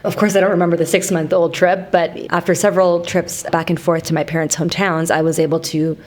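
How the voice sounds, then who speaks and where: A woman from Canada in her thirties, a guest telling her story in an interview at a thoughtful, measured pace. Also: on the low side, level tone, darkish tone. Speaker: guest, company founder. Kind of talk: casual interview.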